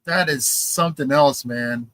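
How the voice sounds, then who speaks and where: A man co-hosting a casual podcast chat and reading out news items, animated and halting, with many fillers. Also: mid-range voice, no room sound. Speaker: podcast co-host.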